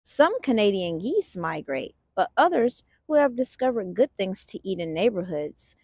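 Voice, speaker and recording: A woman reading a picture book aloud for children in an expressive, deliberate storytelling manner. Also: medium pitch, no echo, studio quality. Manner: expressive, deliberate storytelling with stressed sound words. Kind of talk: animated children's read-aloud.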